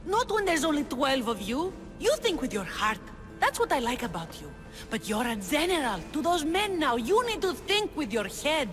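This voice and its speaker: An actress playing a proud, weary rebel leader of ancient Greece in a video game, speaking in a wary, commanding, theatrical way. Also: female voice, sits high, big pitch swings, moderate pace, fairly bright tone. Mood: firm, urgent. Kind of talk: dramatic video game cutscene acting. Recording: reverberant hall, music behind.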